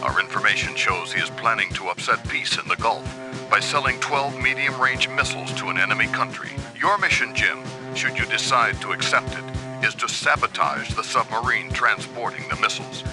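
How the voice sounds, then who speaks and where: A man playing a formal, measured agency voice delivering a recorded mission briefing in a video game. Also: mid-range voice, music in the background, lightly acted.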